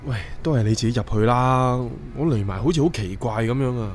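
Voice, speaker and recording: Actor as earnest, deadpan young Hong Kong man. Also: medium pitch, a little fast, music in the background, close to an everyday voice. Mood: bewildered protesting. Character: naive, earnest young man, drily sceptical, short of money. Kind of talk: radio drama acting.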